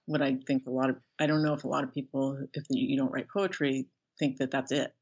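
A middle-aged American woman being interviewed as an author, speaking thoughtfully and haltingly as she searches for words. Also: a bass voice, fairly even intonation, a little mellow, breathy edge, dull audio. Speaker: interview guest, author and professor. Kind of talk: reflective interview conversation.

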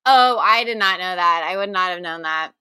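Woman co-host guessing in a game, exasperated and chatty, sharp bright voice, no room sound. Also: on the low side, moderate pace, clear, resonant tone, dry recording. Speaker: podcast co-host and game contestant. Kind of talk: casual banter.

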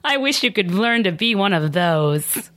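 A woman's voice: medium pitch, lively intonation, a little slow, a bit bright. A woman playing a bitter, wounded ex-partner in a dramatic reading of breakup messages. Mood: defiant. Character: bitter ex-partner feigning civility after a breakup.

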